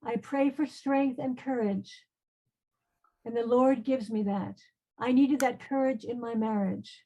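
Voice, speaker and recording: A woman's voice: a little high, fairly even intonation, measured pace, mellow, muffled recording. A woman acting an earnest, proud, devout condemned queen in an online play reading. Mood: solemn, resolute.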